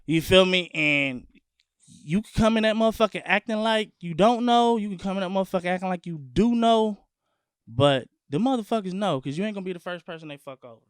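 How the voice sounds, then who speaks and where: A man from Long Beach, California, a guest music artist talking in an animated, profane, emphatic way in a casual sit-down interview. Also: high voice, fairly quick, smooth, no room sound, studio quality.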